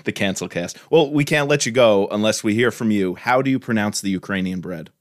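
A man co-hosts a book podcast in a lowish voice. He banters with the guest and briskly lists what is coming up. The sound is close and dry. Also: no room sound. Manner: upbeat, joking, runs through lists. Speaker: podcast co-host. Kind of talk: casual podcast chat.

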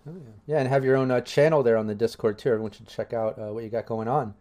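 A man hosts a live tabletop game stream in an easygoing, rambling way. His voice is warm and mellow at a middle pitch, and he talks with many fillers. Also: steady tone, quick pace. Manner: chatty, loose, many fillers. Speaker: game master and stream host. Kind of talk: casual livestream chat.

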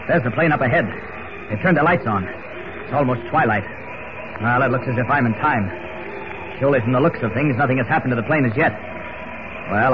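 An actor playing a confident male superhero who thinks aloud deliberately, in 1940s radio drama style. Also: medium pitch, lightly acted. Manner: deliberate, self-assured monologue. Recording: muffled, noisy old radio recording.

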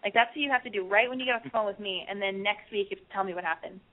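A woman's voice: mid-range voice, racing, phone-quality sound. A woman hosting a radio call-in advice show, giving blunt, frank, bossy advice to callers.

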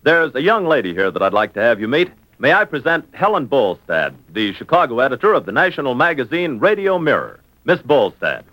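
A man's voice: medium pitch, very animated, a little fast, hardly any pauses, bright, forceful tone, no echo, poor audio. An American man announcing in scripted 1940s radio style.

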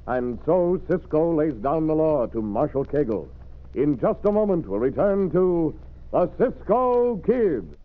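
A man's voice: on the high side, sing-song, measured pace, soft background music. Vintage radio announcer, man, muffled. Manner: dramatic, formal. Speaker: announcer and narrator. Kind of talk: 1940s-50s radio drama narration.

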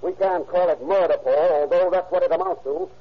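A stern police lieutenant pressing hard with each question in a slightly husky voice. The voice sits a little high for a man. It comes through a dull, lo-fi 1930s radio recording. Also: a little fast. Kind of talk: 1930s radio drama acting.

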